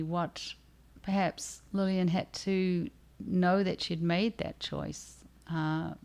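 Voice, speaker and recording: A woman's voice: on the low side, darkish tone. A woman author hosting a casual discussion with readers, conversational and thinking aloud as she goes. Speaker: author and livestream host.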